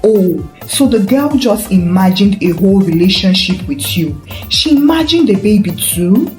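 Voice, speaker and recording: A wounded, distrustful wife from a story, acted out by a woman narrator. She is guarded and accusing, with a mellow tone and very mobile pitch, over faint music. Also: lively intonation, normal speed, lightly acted. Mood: bitter. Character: hurt, bitter, distrustful wife of a pastor. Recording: roomy echo with faint music.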